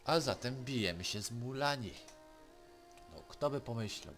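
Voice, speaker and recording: A man's voice: medium pitch, lively intonation, normal speed, fairly bright tone. A man with a Polish accent giving casual game commentary, musing and thinking aloud as he plays. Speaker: gaming video host. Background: faint game music.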